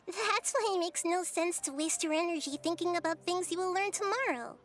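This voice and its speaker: A woman voicing a calm, philosophical, innocently blunt girl deity in a video game, musing in measured riddles. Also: very high voice, faint music behind. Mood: amused, innocent. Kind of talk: video game character acting.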